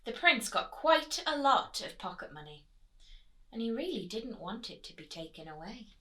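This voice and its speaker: A woman teacher reading expressively to children at storytime, pausing to prompt them with questions. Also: on the high side, very animated, a bit bright.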